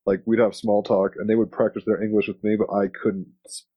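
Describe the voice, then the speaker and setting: A man's voice: lowish voice, level tone, brisk, breathy edge, no room sound. A man chatting casually as an interviewer, with an informal, slangy way of talking. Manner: informal, slangy, swears freely. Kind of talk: casual chat. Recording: dull, lo-fi, dry audio.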